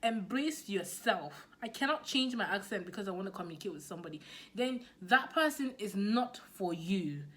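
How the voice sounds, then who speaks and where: A woman's voice: medium pitch, lively intonation, normal speed, a bit bright. A woman with a Nigerian accent giving a motivational business talk to camera, emphatic and repeating her key points. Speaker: business coach.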